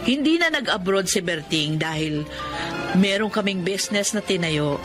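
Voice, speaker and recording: A woman's voice: medium pitch, animated, normal speed, close to an everyday voice. An actress with a Filipino accent playing a grateful, devoted wife and stepmother in a radio drama. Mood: grateful, reflective. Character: Sean, grateful, devoted woman newly engaged, loving stepmother. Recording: loud dramatic music bed under voice.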